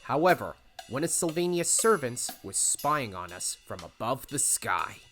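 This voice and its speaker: A performer playing a polite, steady young male animal adventurer in an audio drama, calm and courteous. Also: medium pitch, measured pace, faint music behind. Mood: explanatory.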